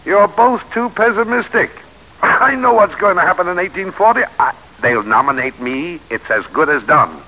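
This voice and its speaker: Bright, theatrical statesman orator, old radio. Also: high-pitched, expressive, moderate pace, full character voice. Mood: defiant, confident. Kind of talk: 1940s radio drama acting. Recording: hissy, noisy vintage radio recording.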